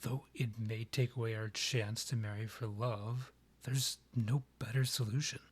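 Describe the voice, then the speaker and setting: A man playing a haughty vampire monarch, a proud rival and ex-lover, with formal, measured, archly condescending phrasing in a romantic roleplay audio drama. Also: medium pitch, fairly even intonation, husky. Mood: persuasive, composed.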